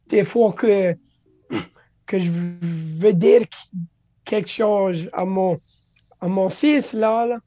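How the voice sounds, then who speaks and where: Slow, halting woman. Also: medium pitch, mellow. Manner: halting, restarts words, mixes English into French, laughs often. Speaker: guest. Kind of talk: casual interview talk.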